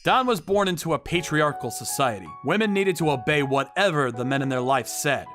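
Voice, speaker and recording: A man narrating a manga dub story in the first person with earnest, expressive delivery. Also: on the high side, brisk, over music. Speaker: narrator and voice actor.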